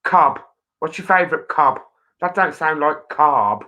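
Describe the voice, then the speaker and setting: A woman's voice: low voice, animated, measured pace, sharp tone, muffled recording. A woman hosting a casual live chat show, with a playful, bantering and teasing manner. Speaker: talk show host.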